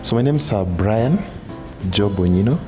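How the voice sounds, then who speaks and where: A man's voice: on the low side, lively intonation, unhurried, music in the background. A man, apparently in his thirties, speaking about himself in a profile interview. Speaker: interviewee, banker.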